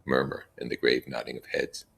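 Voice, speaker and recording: Low-voiced man reading a story aloud. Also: completely flat delivery, a little fast, gravelly, fairly bright tone, slightly rough. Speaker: reader. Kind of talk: expressive book read-aloud with casual comments.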